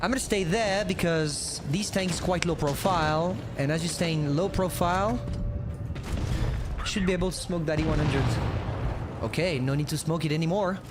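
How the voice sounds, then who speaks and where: A man's voice: on the high side, moderate pace, over music. A young man from France with a French accent, streaming gameplay and chatting casually with viewers, rambling and talking to himself. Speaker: gaming streamer. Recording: noisy, glitchy mic cutting out.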